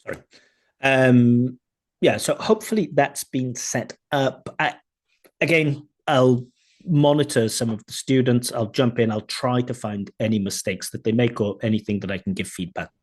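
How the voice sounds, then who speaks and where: A man teaching an online exam class, chatty and lively, acting out sample answers. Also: mid-range voice, moderate pace. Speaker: IELTS teacher. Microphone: video call.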